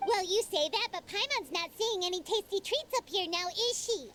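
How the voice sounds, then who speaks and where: A woman voicing a tiny, childlike, perky female fairy companion with a squeaky voice in a fantasy game. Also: expressive, moderate pace, a bit bright. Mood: doubtful teasing. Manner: refers to self in third person, perky. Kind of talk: animated fantasy game acting.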